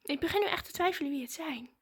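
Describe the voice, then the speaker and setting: A woman. She talks like a vlogger, in casual, excited chat aimed at her viewers. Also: high-pitched, quick pace, heavy background noise.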